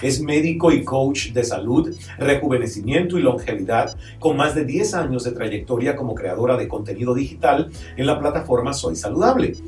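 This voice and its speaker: A man hosting a talk show, chatting playfully and laughing often, his voice a little rough today. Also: medium pitch, expressive, moderate pace, hardly any pauses, a little mellow, reverberant, far from the mic, soft background music. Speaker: host. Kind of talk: casual chat.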